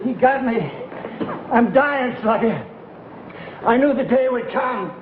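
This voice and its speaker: An actor playing a melodramatic gangster with overwrought, drawn-out delivery in a 1960s broadcast comedy sketch. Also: male voice, extremely high pitch. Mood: hammy dying. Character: melodramatic, hammy gangster sidekick. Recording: tinny echoey old broadcast, loud audience noise.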